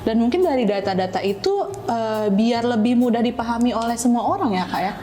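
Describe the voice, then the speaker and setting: Female host, young, chatty and agreeable, higher register, echoing room. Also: a little fast, warm tone. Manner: chatty, frequent agreeing interjections. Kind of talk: casual interview chat. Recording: echoey reverberant room, faint noise.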